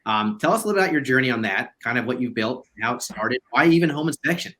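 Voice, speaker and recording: A man hosts a casual podcast, his words tumbling out quickly. He sounds enthusiastic and rambles from one thought to the next. His pitch sits in the middle. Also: expressive, pushed and bright. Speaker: podcast host.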